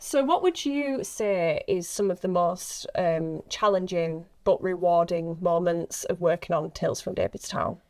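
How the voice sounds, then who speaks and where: A woman's voice: lowish voice, measured pace, few pauses, darkish tone. A woman chatting casually and informally as an actress on a podcast, peppering her speech with "like". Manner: informal, heavy use of "like". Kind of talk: casual chat.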